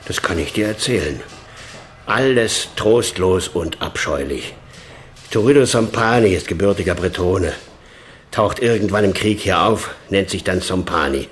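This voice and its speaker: An actor playing a cynical, blunt police chief in a German radio crime drama, sardonic and emphatic. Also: male voice, low-pitched, measured pace, a little hiss or hum. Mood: cynical, disgusted. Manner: sardonic, emphatic, swinging from muttered to loud.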